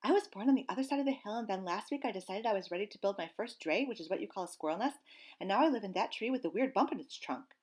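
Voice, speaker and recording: A woman's voice: mid-range voice, animated, darkish tone, voice pushed up, close to an everyday voice. A woman voicing a tiny, nervous young female squirrel who rambles on in one breathless rush, for a storybook reading. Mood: chatty. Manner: rambling, breathless, rapid-fire.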